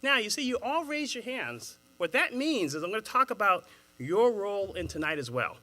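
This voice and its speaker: A man with a Boston accent moderating a panel, chatting with a live audience in an animated way and laughing at his own jokes. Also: high voice, moderate pace. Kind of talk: engaging address to a live audience.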